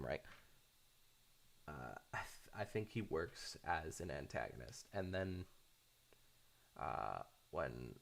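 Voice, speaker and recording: A man giving casual, unscripted fan commentary, halting often and repeating words while thinking aloud. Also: extremely low pitch, creaky. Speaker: host of a cartoon fan channel.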